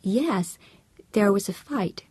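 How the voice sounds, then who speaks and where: A woman's voice: medium pitch, takes it slowly, a little mellow, husky, dry recording. A woman narrating an audiobook voices an earnest, chirpy American housewife with a sing-song American accent. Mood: bright.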